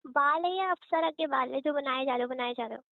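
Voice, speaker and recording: A woman acts as a playful young wife in a homely audio drama. Her voice is high and she talks informally, teasing with affection and a stubborn streak. It comes through a thin phone-like line with a roomy echo. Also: brisk. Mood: teasing, cheerful. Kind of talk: casual domestic audio roleplay.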